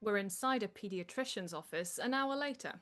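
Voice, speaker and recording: A woman's voice: mid-range voice, animated, a little fast, a bit bright, faint music behind. A woman narrator reading screenplay stage directions aloud.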